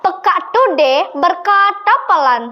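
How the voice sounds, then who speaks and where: A young girl telling a children's story in a deliberate, dramatic and expressive way. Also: very high voice, highs cut off. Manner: slow, deliberate, expressive delivery. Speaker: storytelling contest participant.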